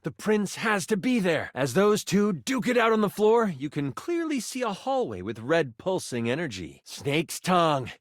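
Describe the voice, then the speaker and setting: A man's voice: high voice, very animated, normal speed, few pauses, no echo, clean studio sound. A man playing an enthusiastic game master character in a video game, narrating a fantasy quest in a theatrical, dramatic way. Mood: dramatic, suspenseful. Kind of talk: animated tabletop adventure narration in a video game.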